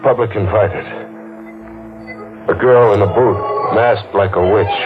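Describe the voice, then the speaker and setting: An actor playing a haunted, guilty man on the run in an old-time radio thriller, nervous and halting with a hard-boiled edge. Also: fairly low pitch, close to an everyday voice. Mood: haunted, brooding. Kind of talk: old-time radio thriller acting and first-person narration. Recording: tinny old-time radio recording with music.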